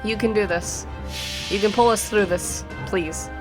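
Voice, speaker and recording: A woman's voice: mid-range voice, a little slow, rough recording. Woman doing lets play commentary, chatty n sweary, talks to the game, music going. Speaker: let's play host.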